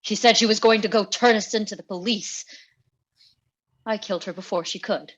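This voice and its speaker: A woman's voice: mid-range voice, normal speed, highs cut off, lightly acted. A woman playing a cornered cartoon villain, protesting and self-justifying in a melodramatic way. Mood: defiant confession.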